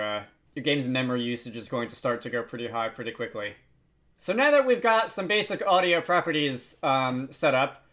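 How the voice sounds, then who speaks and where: Guy doing a casual software walkthrough, slightly high voice, kinda halting as he explains stuff. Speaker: tutorial host. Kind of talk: casual software tutorial narration.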